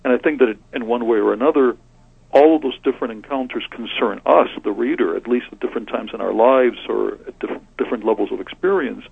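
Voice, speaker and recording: A soft-spoken man in his sixties, originally from Cuba, thinks aloud and often restarts his sentences. His voice is gravelly and a little husky. It comes through a thin, phone-like line with a dry, close sound. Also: mid-range voice, quick pace, dry recording. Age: 60s. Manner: soft-spoken, reflective, frequent hesitations and restarts. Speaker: Trappist monk and author. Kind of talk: thoughtful interview answers.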